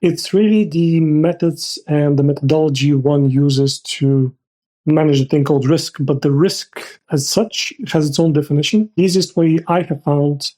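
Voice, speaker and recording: Mellow-voiced man explaining things carefully. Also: on the high side, fairly even intonation, normal speed. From Bulgaria. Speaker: podcast guest, risk manager. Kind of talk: explanatory interview talk.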